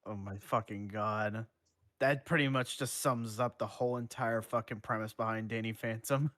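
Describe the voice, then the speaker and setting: A man reading fan fiction aloud on a stream, informal and unhurried, chatting as he goes. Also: on the low side, fairly even intonation, a bit bright, no room sound, studio quality. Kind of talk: informal live story reading with banter.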